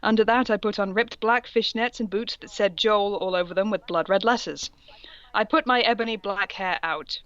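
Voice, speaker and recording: A woman's voice: mid-range voice, normal speed, fairly bright tone, muffled recording. A woman co-hosting a comedy show, casually reading fan fiction aloud and commenting on it. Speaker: co-host reading the female parts.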